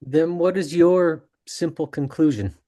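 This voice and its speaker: A man's voice: a little high, measured pace, dark tone, natural voice. A man playing an inquisitive, hard-to-satisfy audience member at a screenplay table read. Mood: questioning.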